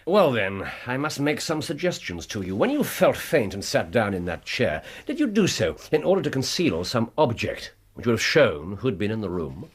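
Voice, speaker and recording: Keen, theatrical English detective for radio drama; husky voice, swooping intonation, playful yet commanding. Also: male voice, medium pitch, very animated. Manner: theatrical, brisk, wry.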